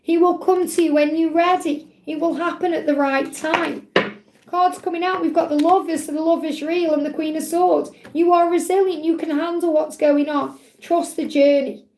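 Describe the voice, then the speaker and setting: A woman, a tarot reader hosting a live Q&A, talking in a chatty, reassuring way while her voice grows croaky. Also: very high voice, steady tone, normal speed, darkish tone, reverberant, slight background noise. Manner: chatty, reassuring, repeats phrases, ends points with "okay?". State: voice getting croaky. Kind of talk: live-stream tarot reading, reading out chat messages.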